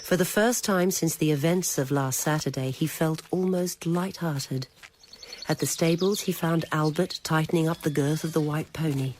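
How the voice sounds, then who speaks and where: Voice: medium pitch, steady tone, moderate pace, darkish tone. A narrator reading literary, scripted prose for a radio drama. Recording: noisy old radio recording.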